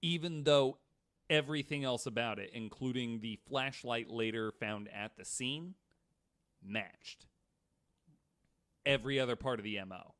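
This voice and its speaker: A man's voice: medium pitch, a little slow, pauses often, fairly bright tone. An American man co-hosting a podcast, telling a story from notes with casual banter. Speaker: podcast co-host.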